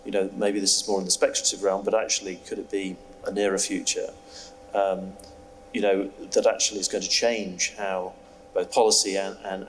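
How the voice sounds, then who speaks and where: A man's voice: low-pitched, fairly bright tone. A man moderating a panel discussion, asking long, hesitant questions with many fillers. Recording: distant mic with faint hum.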